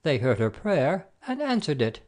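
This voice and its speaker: A man playing a wily messenger god posing as a simple shepherd, with an expressive storyteller's delivery in an amateur audio play. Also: on the high side, normal speed. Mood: lyrical storytelling.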